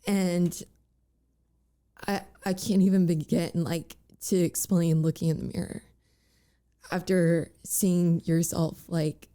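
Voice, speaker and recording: Raspy young woman with voice cracks. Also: on the low side, flat delivery, warm tone. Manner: halting, many fillers and "like"s. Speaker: guest. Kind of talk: candid personal interview.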